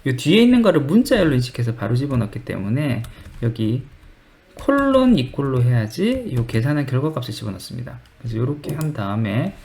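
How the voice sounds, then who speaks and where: A man's voice: mid-range voice, sing-song, fairly quick, gravelly, darkish tone. A man presenting a screen-recorded coding tutorial, informally thinking aloud as he explains each step.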